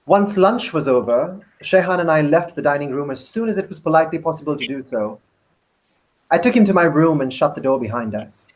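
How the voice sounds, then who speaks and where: A man, an actor, narrating a first-person novel in a measured, deliberate dramatic reading. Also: fairly high pitch, expressive.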